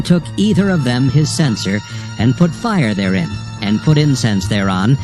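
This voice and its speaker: A man narrating scripture from a script in a solemn, measured, formal manner. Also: mid-range voice. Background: music.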